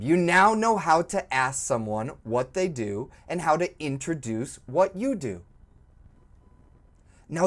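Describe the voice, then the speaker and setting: A woman teaching an English lesson, speaking slowly and clearly, encouraging and prompting the learner to repeat. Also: sits low. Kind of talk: slow, clearly spoken language lesson.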